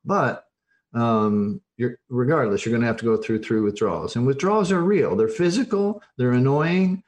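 Older guy, medium pitch, coach explaining stuff in an interview, blunt and emphatic. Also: moderate pace, darkish tone. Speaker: interview guest, addiction recovery coach.

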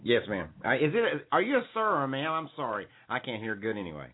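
A man's voice: medium pitch, sing-song, fast, breathy edge. Polite man calling in over a tinny phone line. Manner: polite, deferential, hesitant. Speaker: caller.

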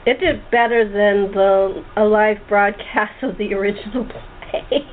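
A woman's voice: mid-range voice, fairly even intonation, measured pace. Tinny-sounding woman hosting a chat. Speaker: host. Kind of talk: casual group chat. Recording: tinny phone-like line with clear noise.

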